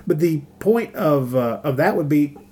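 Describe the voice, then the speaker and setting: Higher-voiced man, casual podcast host. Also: expressive, a little slow, warm tone. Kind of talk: casual chat.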